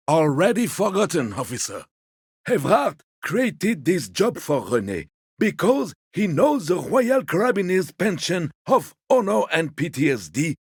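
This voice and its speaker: A man voicing a genteel, fussy old man in a video game, a husky voice and a polite, wheedling, quietly petty manner. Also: a little high, no room sound, studio quality, full character voice. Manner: polite, wheedling, theatrically indignant. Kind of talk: video game character dialogue.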